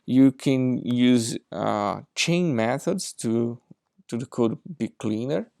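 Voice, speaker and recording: A Brazilian man in his thirties walks through a software demo, his speech slow and full of fillers. His voice has a gravelly edge, and the recording is dry and close. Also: mid-range voice, animated, no echo. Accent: Brazilian Portuguese. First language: Portuguese. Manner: hesitant, many fillers, non-native grammar. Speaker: software engineer presenting a project demo. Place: indoors at a desk with a laptop.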